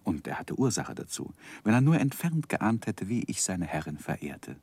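An actor playing a gentleman who tenderly recalls his infatuated youth, narrating a 1960s German radio drama in a wistful, literary manner. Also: on the low side, sing-song, moderate pace, slightly rough, dry recording, exaggerated voice. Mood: fond, amused. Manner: wistful, ornate literary phrasing.

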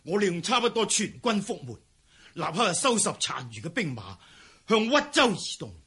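Husky, high-pitched cowardly palace eunuch. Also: male voice, very animated, moderate pace, sharp tone, heavily acted. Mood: weary recounting. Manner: hesitant stammering, sighing. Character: cowardly fleeing imperial palace chief eunuch, evasive, self-serving. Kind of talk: Cantonese historical radio drama acting.

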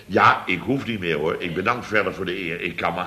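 An actor playing a blustering, indignant boss of a building firm in a Dutch radio comedy, exclaiming and grumbling. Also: male voice, sits low, a little fast, bright, forceful tone. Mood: scornful grumbling. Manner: exclamatory, grumbling, tags sentences with "hè" and "zeg".

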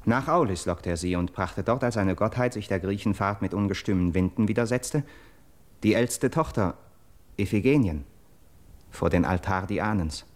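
Actor as a low-voiced, solemn Greek captive. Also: male voice, moderate pace, close to an everyday voice. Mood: solemn, horrified. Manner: declamatory, solemn, dramatic. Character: earnest Greek captive bearing grim news of murder. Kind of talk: classical verse radio drama acting.

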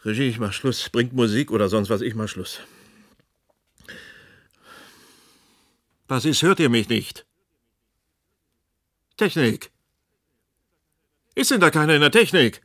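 A man's voice: on the high side, pushed and bright, slightly rough, full character voice. A male actor playing an earnest radio presenter facing catastrophe in a radio drama. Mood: angry, desperate. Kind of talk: radio drama acting, broadcast moderation.

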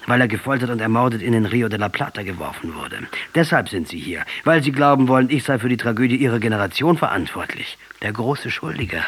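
An actor playing a proud, defiant revolutionary commander in a German radio drama, challenging and argumentative in manner. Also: male voice, mid-range voice, lively intonation, quick pace, hardly any pauses, a bit bright, breathy edge. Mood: accusing.

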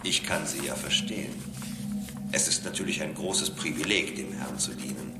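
Very deep, patronizing robot. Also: male voice, very noisy. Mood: solicitous, superior. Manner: measured, formal, patronizing. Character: male robot, calm, devout, condescending believer in a Lord. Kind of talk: German radio drama acting. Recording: echoing voice with loud music.

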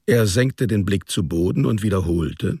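A man reads scripted narration for a radio play. His voice is deep and rough-edged, in a close, dry sound. The storytelling is measured and understated. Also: expressive, darkish tone, no echo.

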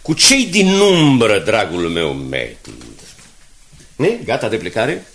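Deep, gravelly older newspaper director. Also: male voice, wildly swooping pitch, a bit bright, a little hiss or hum, heavily acted. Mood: affectionate. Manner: measured, courtly. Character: dignified older newspaper director, courteous, stubborn and warm-hearted. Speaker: actor in a radio drama. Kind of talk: 1980s radio play acting.

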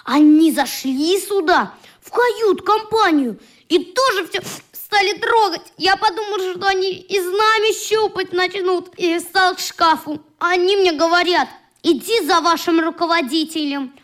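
A woman's voice: extremely high pitch, a little slow, a bit bright. A woman playing an earnest, indignant little boy in a radio play, reporting in an artless, aggrieved way.

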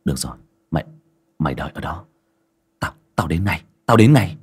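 A man's voice: a bass voice, lively intonation, brisk, slightly rough, exaggerated voice. A man voicing a distraught husband who stammers while choked up.

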